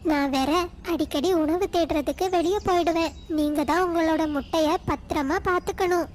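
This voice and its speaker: A caring mother sparrow acted by a woman for a cartoon fable. She speaks very high and respectfully, gently advising, over faint background noise. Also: steady tone, moderate pace. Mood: polite, concerned. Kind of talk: animated moral-story dialogue.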